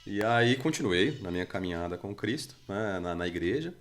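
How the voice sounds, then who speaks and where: A man in his forties from Brazil, chatting on a church podcast in an unhurried, hesitant way with many fillers and restarts. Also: fairly low pitch, expressive. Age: 40s. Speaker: church member guest. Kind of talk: casual podcast conversation.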